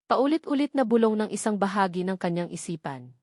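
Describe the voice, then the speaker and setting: A Filipino woman narrates a dramatic scripted story. Her voice sits at a middle pitch for a woman. The sound is close and dry, with very little room in it. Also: expressive, a little fast, a little mellow, no room sound.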